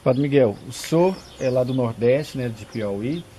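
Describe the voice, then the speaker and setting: Polite priest asking interview questions. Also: male voice, mid-range voice, expressive, measured pace, mellow, a little hiss or hum. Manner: courteous, leading questions ending in "né?".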